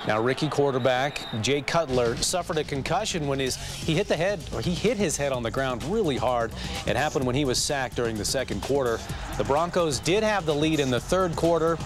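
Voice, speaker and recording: A man with an American accent delivering scripted news as an anchor. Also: mid-range voice.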